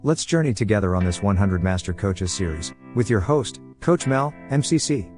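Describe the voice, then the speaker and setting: A man reading a scripted introduction to an interview show in a measured promotional tone. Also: fairly low pitch, expressive, a little mellow, soft background music. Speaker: show announcer.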